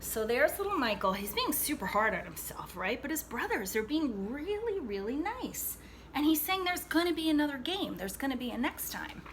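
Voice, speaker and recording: A woman reading a picture book aloud to children like a teacher, expressively, pausing to explain and check in with her young listeners. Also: high voice, very animated, fairly bright tone, a little hiss or hum. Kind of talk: read-aloud storytelling with asides to young listeners.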